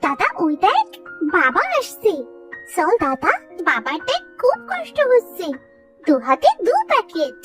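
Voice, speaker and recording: Casting: woman as small cartoon sister, extremely high lively voice, caring, slightly distant with music. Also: a little slow, heavily acted. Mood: eager, caring. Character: cheerful, caring little village girl. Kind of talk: cartoon voice acting.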